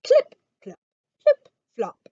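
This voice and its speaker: A woman reading a children's story aloud as a storytime reader, expressive and dramatic. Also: takes it slowly, dry recording, dull audio.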